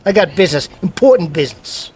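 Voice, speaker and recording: A man's voice: sits high, expressive, quick pace, a little mellow, slight background noise. An actor playing a sour, scornful man in his thirties in a radio drama, brash, slangy and combative. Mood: angry, scornful. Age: 30s.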